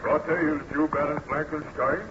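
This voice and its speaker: An actor playing a formal man of authority in old-time radio melodrama, speaking in a measured, theatrical way. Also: on the high side, level tone, lo-fi sound, close to an everyday voice. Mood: incredulous. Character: stern, formal male official or ship's captain. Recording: noisy, band-limited old radio recording.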